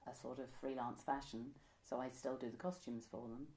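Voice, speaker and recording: A woman's voice: extremely low pitch, steady tone, a little fast, darkish tone. A woman, reminiscing conversationally as an interviewee. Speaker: interviewee, pantomime costume maker. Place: indoors, room by a window.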